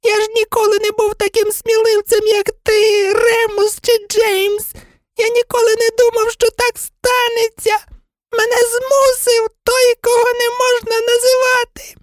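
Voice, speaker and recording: Lady doing a super high squeaky whiny coward guy from a storybook, begging and snivelling, dry sound. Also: flat delivery, unhurried, no room sound. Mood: terrified whining. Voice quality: squeaky, thin. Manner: whining, pleading, sobbing. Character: cowardly, whimpering, pleading balding man, traitor.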